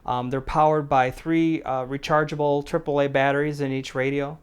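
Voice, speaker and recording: A man's voice: a little high, level tone, moderate pace, smooth. A middle-aged man hosting a casual product review, talking in a plain-spoken, conversational way. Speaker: prepper channel host and product reviewer.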